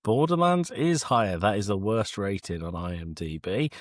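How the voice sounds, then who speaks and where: A man's voice: fairly low pitch, big pitch swings, normal speed, no echo, clean studio sound. A British man co-hosting a podcast, casually running a quiz for the others.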